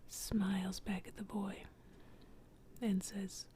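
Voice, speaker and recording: A woman telling a calm story entirely in a soft, unvoiced ASMR whisper. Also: mid-range voice, slow pace, a little hiss or hum.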